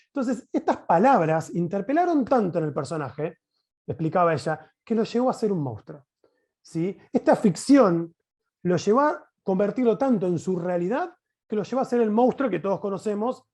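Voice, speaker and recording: High-voiced, animated Argentine man presenting. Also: moderate pace. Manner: expressive, emphatic, uses rhetorical questions. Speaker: teacher presenting at a conference. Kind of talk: explanatory conference talk. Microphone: video call.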